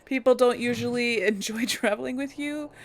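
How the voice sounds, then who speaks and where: A woman's voice: on the high side, normal speed. A woman hosting a let's play, giving casual gameplay commentary and thinking aloud with hesitant restarts.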